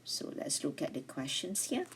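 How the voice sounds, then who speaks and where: A woman teaching beginner French over slides, speaking English with a Malaysian accent, slowly repeating and modelling each phrase. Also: low voice, wildly swooping pitch, a little hiss or hum. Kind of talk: recorded lecture over slides.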